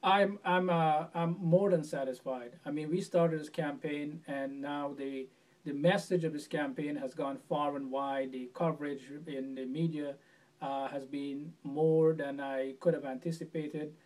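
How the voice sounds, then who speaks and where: A man from Guyana answers interview questions in an earnest, measured way. His voice sits a little high for a man and stumbles over a word now and then. He sounds set back from the mic. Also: steady tone, darkish tone, far from the mic, low-quality recording. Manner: earnest, measured, repeats words at restarts. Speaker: presidential candidate.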